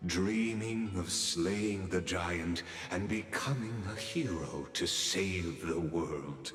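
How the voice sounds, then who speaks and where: Sly theatrical game narrator; low, unhurried delivery, knowing tone. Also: male voice, fairly bright tone, full character voice. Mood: storytelling, solemn. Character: sly, grandly theatrical male storyteller guiding a listener through memories. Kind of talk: scripted dramatic game narration. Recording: echoey hall reverb, music behind.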